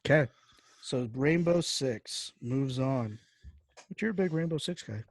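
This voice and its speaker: A man's voice: medium pitch, lively intonation, unhurried, dry recording. Casual male podcast host, dry sound. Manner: slangy, informal banter. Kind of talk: casual chat.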